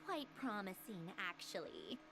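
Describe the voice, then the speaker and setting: A woman's voice: medium pitch, huge pitch swings, a little slow, vocal fry, over music, full character voice. A woman voicing a haughty, cool young researcher in a video game, composed and disdainful. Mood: self-assured.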